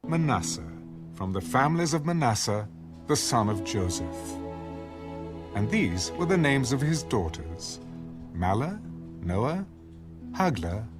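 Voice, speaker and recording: A man's voice: medium pitch, big pitch swings, unhurried, pauses often. A man playing a formal, solemn narrator in a dramatized audio Bible. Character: formal male narrator of scripture. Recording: music bed under the voice.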